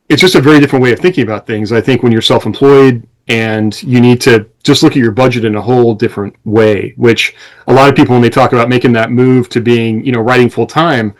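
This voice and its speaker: A man's voice: medium pitch, low-quality recording. Casual, rambling male podcast co-host. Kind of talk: casual conversation among co-hosts.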